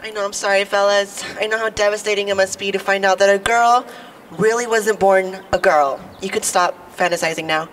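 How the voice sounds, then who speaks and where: A young woman with a low voice, a little sick, performing a stand-up comedy monologue with playful, teasing asides to the crowd. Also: steady tone, fairly quick, fairly bright tone. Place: outdoors on building steps at a podium. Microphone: podium mic. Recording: distant outdoor mic, faint noise.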